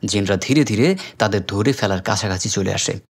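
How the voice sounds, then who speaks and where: A man's voice: fairly low pitch, fairly quick, gravelly, breathy edge. Bangladeshi man narrating a scripted story. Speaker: film recap narrator.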